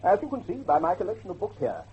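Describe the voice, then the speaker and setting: An actor playing an earnest, excitable scholar of werewolves, professorial and halting, with a husky voice in a horror drama. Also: male voice, sits high, highs cut off, full character voice. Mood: earnest, ominous. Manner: professorial, hesitant, halting emphasis. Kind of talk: dramatic horror dialogue acting.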